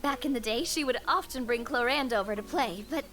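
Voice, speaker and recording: A woman's voice: fairly high pitch, fairly quick, fairly bright tone, soft background music, natural voice. A woman playing a polite, inquisitive young lady in an anime-style game, thinking aloud in a thoughtful way.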